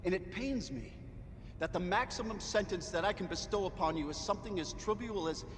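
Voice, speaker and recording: An actor playing a pompous, sanctimonious judge of an oppressive regime, theatrical and savoring each word in a game cutscene. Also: male voice, lively intonation, fairly quick. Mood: mock sorrow. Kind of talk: dramatic game cutscene acting. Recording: long hall reverb, slight rumbling noise.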